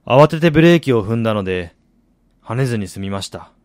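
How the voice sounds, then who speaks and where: An actor playing a weary, reflective middle-aged man in a radio drama, recounting his losses in a measured, confessional way. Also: mid-range voice, expressive, a little mellow, no echo, clean studio sound, close to an everyday voice. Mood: reflective, haunted. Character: weary man who lost family, confessional, brooding. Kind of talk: Japanese radio drama acting.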